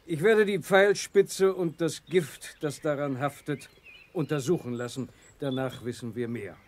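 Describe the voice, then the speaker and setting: A man playing a thoughtful science professor with a formal, deliberate manner in a children's sci-fi radio play. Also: on the high side, close to an everyday voice.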